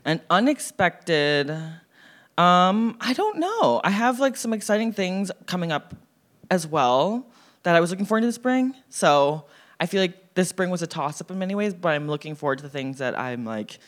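Low-pitched, very animated young woman. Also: normal speed. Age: 20s. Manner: slangy, candid, emphatic. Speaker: podcast guest. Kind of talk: casual chat.

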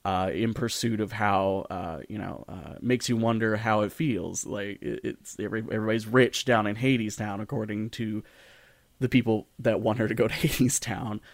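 A man with a fairly low voice, recorded close and dry. He talks like a podcast co-host in casual discussion, informal and rambling as he works his thoughts out aloud. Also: fairly even intonation, normal speed, creaky, no room sound. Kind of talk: casual discussion of storytelling in audio and stage.

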